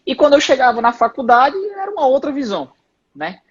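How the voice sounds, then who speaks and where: A man's voice: extremely high pitch, quick pace, breathy edge, lo-fi sound. A man in his twenties, an engineer and company founder, chatting casually as a guest on a live stream, dropping lots of fillers. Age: 20s. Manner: relaxed, full of fillers like "né" and "aí". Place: home, live video call.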